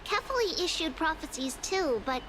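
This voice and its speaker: A small, girlish seer in a video game. She speaks with gentle courtesy in an extremely high voice, over audible background noise. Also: sing-song, normal speed. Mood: courteous, reassuring. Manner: formal, reassuring.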